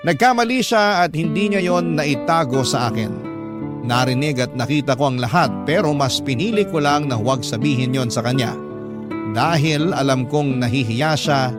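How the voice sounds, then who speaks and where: A man with a Filipino accent reading a listener's letter as an emotive, confiding radio drama narrator. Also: medium pitch. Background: guitar music.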